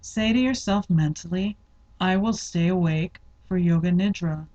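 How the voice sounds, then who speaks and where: A woman leading a guided yoga nidra meditation, giving instructions in a measured, deliberate way with spaced-out phrases. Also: lowish voice, dark tone, highs cut off.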